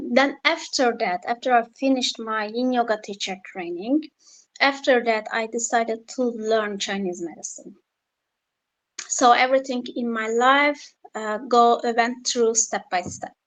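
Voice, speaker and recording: Guest: woman, slightly high voice, hesitant, lots of fillers. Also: low-quality recording. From Turkey. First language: Turkish. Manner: halting, many fillers and restarts. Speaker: yoga teacher guest. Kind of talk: casual interview answers.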